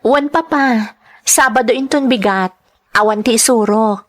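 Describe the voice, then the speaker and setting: A woman with a Filipino accent playing a troubled, gentle young schoolteacher in an earnest, emotive radio drama style. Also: on the high side, unhurried, close to an everyday voice. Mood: worried. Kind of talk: Ilocano radio drama acting.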